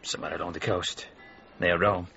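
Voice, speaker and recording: An actor playing a shaken, terse art dealer bearing grim news in a radio drama. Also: male voice, deep voice, fairly even intonation, a little fast, sharp tone, breathy edge, dull audio, soft background music, heavily acted. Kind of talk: naturalistic radio drama acting.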